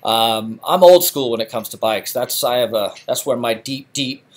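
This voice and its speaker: A man's voice: medium pitch, normal speed. A middle-aged man from England hosting a live stream, chatting casually with lots of fillers and restarts. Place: home garage.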